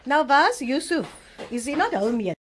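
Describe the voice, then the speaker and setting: Radio drama: bossy, scolding South African granny played by a woman, Cape Town accent, highish pitch, very animated delivery. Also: normal speed. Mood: haughty, guilt-tripping. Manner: scolding, nitpicking, imperious. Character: bossy, critical, sharp-tongued visiting grandmother. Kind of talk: amateur radio drama acting.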